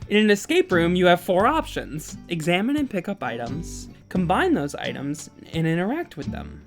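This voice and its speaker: Animated woman narrating a video essay over music. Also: on the low side, moderate pace. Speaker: host of a video essay channel.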